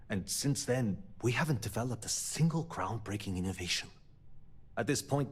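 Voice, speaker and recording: An actor playing a frustrated, principled personnel manager in a sci-fi video game, speaking in an earnest, articulate, exasperated way. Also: male voice, fairly low pitch, husky. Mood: worried, exasperated. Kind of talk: scripted game dialogue.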